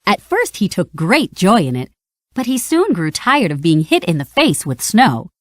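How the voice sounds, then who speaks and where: Casting: female storybook narrator, expressive and dramatic, very lively pitch, dry close sound. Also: normal speed, no room sound. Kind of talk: scripted children's read-along narration.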